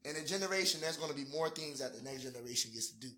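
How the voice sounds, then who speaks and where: A man's voice: a little high, expressive, very fast, bright, forceful tone. A twenty-one-year-old man, a guest on a podcast, talking reflectively in casual chat and checking in with his listener often. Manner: reflective, keeps saying "you know what I'm saying".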